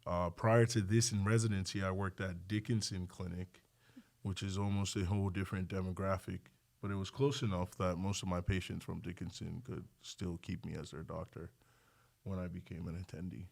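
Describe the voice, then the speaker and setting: A man's voice: sits low, level tone, brisk, gravelly, darkish tone. A man from Nigeria, chatting casually as a doctor and podcast guest in a self-deprecating, joking way. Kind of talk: casual interview chat.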